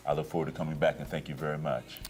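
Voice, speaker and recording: A man appearing as a guest on a talk show, explaining life insurance in a measured way. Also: extremely low pitch, level tone, darkish tone. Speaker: guest, financial advisor. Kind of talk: explaining to an interviewer.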